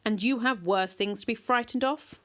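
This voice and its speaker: An ancient tree monster as tall as a house, speaking slowly and gravely with old, quiet authority, played by a woman reading the story. Its words come weighty and unhurried, more stern than frightening. It is a storybook voice, not a shout. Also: fairly high pitch, pitched above the performer's own voice, lightly acted. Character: ancient giant yew-tree monster, male, imposing.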